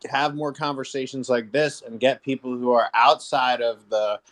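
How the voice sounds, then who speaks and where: A man's voice: mid-range voice, steady tone, normal speed, fairly bright tone. A man giving his opinion in casual, explanatory panel talk, stressing his points. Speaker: panelist in an online music discussion.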